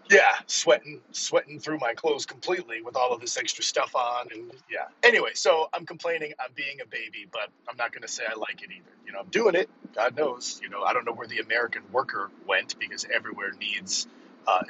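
A man's voice: mid-range voice, bright, forceful tone. A man in his fifties hosting a casual solo podcast as a coach, rambling informally and with emphasis. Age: 50s. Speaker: podcast host and physical therapist coach. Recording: dull lo-fi, vehicle noise behind.

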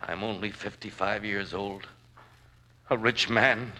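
Low-voiced, ailing, demanding rich man. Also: fairly even intonation, slow, pushed and bright, heavily acted, low-quality recording. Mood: desperate. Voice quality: weak, quavering. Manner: imperious, pleading, self-pitying. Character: sick, frightened, demanding rich father of fifty-five. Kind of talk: 1940s radio acting. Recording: vintage radio drama recording.

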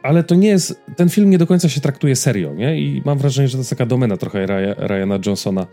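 A man talks in a soft, dark, relaxed voice of average pitch. He reviews casually, feeling for the next word and seeking agreement as he goes. Faint music plays underneath. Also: fast talker. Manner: hesitant, searching for words, checking in with listener. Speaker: podcast co-host reviewing films. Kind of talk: casual conversational film review.

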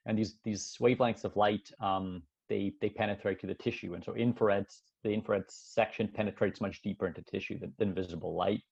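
A man who grew up in Canada, a sports scientist guest on a podcast, explaining his work in a relaxed way full of fillers and restarts. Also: lowish voice, a little fast, creaky, breathy edge, lo-fi sound. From Switzerland, raised in Canada. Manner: relaxed, thinking aloud with many fillers and restarts. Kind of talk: casual interview explaining science.

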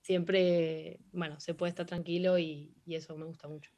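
Hesitant young Argentine woman, low-ish voice. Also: level tone, normal speed, darkish tone. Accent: Argentine Spanish. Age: young adult. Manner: hesitant, many fillers and restarts. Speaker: interviewee, hockey player. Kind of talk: casual interview answers.

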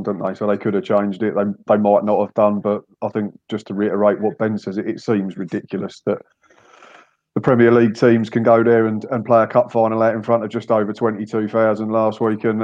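A man co-presenting a football podcast with wry, dry opinions. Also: on the low side. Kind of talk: casual football chat. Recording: dull lo-fi audio.

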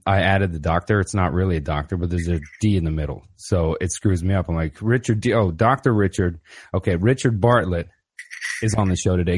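A man with a very deep voice hosts a podcast in a loose, slangy manner. He keeps dropping "man" and "brother" into his sentences and chats easily with his guest. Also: slightly rough. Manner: laid-back, slangy, says "man" and "brother" a lot. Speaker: podcast host. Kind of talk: casual interview conversation.

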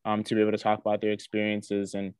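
A man's voice: fairly low pitch, monotone, fast talker, darkish tone, clean tone, no echo, studio quality. A young man from Ghana, a guest in a casual interview, talking earnestly with a lot of ums and restarts. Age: young adult. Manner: earnest, many fillers and restarts. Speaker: interview guest, student athlete.

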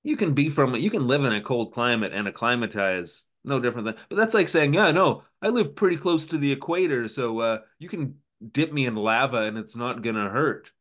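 A man's voice: mid-range voice, animated, brisk, few pauses, a bit bright. A man co-hosting a podcast, recapping an old TV episode in casual, sarcastic chat. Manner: sarcastic, recapping scenes with quoted asides. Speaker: podcast co-host.

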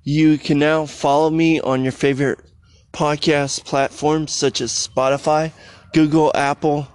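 Fast-talking man hosting a podcast. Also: on the high side, little pitch movement, darkish tone, clear, resonant tone. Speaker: podcast host. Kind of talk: casual podcast sign-off.

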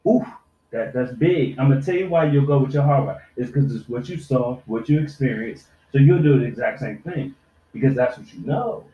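A man's voice: mid-range voice, animated, moderate pace. A man in his forties, a podcast co-host, lecturing younger people with profane life advice in casual chat. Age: 40s. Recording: roomy, dull lo-fi sound.

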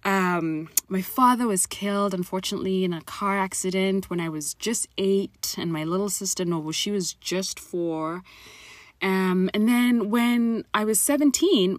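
Woman, 30s, from Zimbabwe, podcast host, frank and profane, unhurried, dry close sound. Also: mid-range voice, no echo. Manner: frank, profane, encouraging, talks straight to listeners. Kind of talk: personal podcast monologue.

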